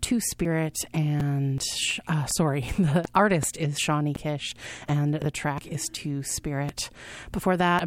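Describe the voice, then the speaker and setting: A woman hosting a community radio music show, relaxed, with small slips and restarts as she introduces songs. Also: low voice. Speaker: radio host. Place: radio studio.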